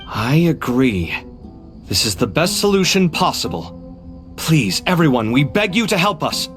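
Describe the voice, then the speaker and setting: Excitable, wildly animated young man. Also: fairly high pitch, unhurried, soft background music. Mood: eager. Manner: animated, expressive, impulsive. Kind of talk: video game voice acting.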